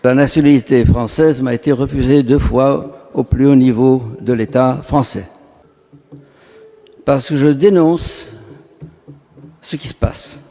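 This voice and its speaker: A man giving an impassioned public speech, slow and declamatory, stacking word after word for effect. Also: medium pitch, warm tone. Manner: emphatic, slow and declamatory, lists words in a row. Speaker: speaker at a public meeting. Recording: long hall reverb.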